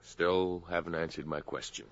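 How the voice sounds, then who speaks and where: A self-assured salesman in love in a 1950s radio play. His voice is very deep and bright, with expressive swings. The recording sounds muffled and old. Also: normal speed. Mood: flirtatious. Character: wooing, self-assured American salesman suitor. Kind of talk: 1950s radio drama acting.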